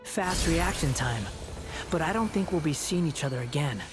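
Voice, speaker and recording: A man's voice: fairly high pitch, animated, moderate pace, music in the background, natural voice. An actor playing a proud, bitter young male wanderer in a video game, with a dry, aloof, cutting manner. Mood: aloof, resolute. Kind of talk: video game character acting.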